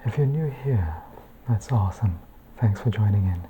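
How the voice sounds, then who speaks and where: A woman reading a bedtime book in ASMR style, hushed and measured, with a soft, breathy voice that often slips into a whisper. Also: very deep voice, animated, muffled recording, background noise.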